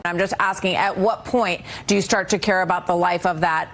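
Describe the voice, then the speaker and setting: A woman's voice: on the low side, steady tone, a little fast, a bit bright, a little hiss or hum. A woman interviewer putting challenging questions in a pressing manner.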